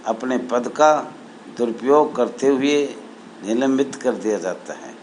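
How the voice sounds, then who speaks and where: A man speaking as an association spokesman, reading out a formal numbered appeal in a halting, deliberate, earnest way. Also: mid-range voice, rough recording. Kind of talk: formal appeal, reading numbered points aloud with added commentary. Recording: noticeable background noise.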